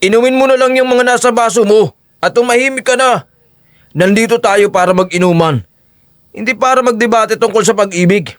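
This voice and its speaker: Male actor as young drinking companions, high voice, theatrical Filipino radio-drama delivery, dry sound. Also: fairly quick, no echo, natural voice. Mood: firm, bossy. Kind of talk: Filipino audio drama dialogue.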